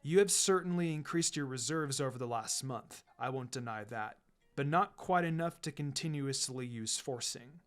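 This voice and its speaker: Calm, firm male ninja mentor, played by a man reading fan fiction, lowered pitch, measured and reassuring, music underneath. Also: close to an everyday voice. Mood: instructive. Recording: music behind the voice.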